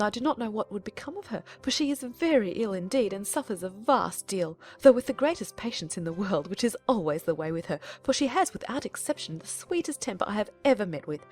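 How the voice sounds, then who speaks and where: A woman's voice: mid-range voice, animated, brisk, darkish tone, faint music behind, close to an everyday voice. A woman voicing a fussy, effusive middle-aged mother in a dramatized audiobook, chattering and easily offended. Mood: gushing. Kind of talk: dramatized audiobook character reading.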